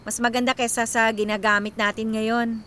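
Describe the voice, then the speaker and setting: Actress as a high-voiced young breadwinner daughter. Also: female voice, little pitch movement, normal speed, a bit bright, a little hiss or hum, close to an everyday voice. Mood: practical. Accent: Filipino. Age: young adult. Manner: teasing, self-deprecating. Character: tired but generous, wry millennial breadwinner daughter. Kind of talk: radio drama acting.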